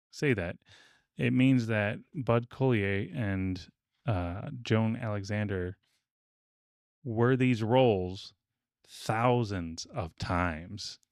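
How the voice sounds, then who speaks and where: A man's voice: fairly low pitch, clean tone, no echo, studio quality. A man hosting a casual podcast discussion, explaining things in a hesitant way with asides. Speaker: podcast host. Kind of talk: casual discussion with research notes.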